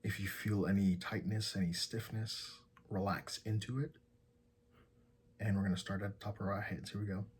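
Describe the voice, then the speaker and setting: A man leading a guided meditation in a soft, breathy, husky voice, giving calm and gentle instructions with long pauses. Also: fairly low pitch, slight background noise.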